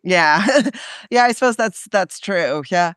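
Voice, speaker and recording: A woman's voice: medium pitch, animated, slow pace, fairly bright tone, clear, resonant tone, dry recording, clean studio sound. A woman with a Canadian accent, a guest author talking casually in an interview, chatty and laughing often, with many fillers and restarts. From Canada and France.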